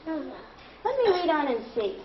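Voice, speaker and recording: A woman leading a read-aloud lesson as a primary teacher, deliberate and instructive, thinking out loud. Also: high-pitched, sing-song. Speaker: primary school teacher reading aloud to a class. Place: classroom. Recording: muffled classroom recording with clear background noise.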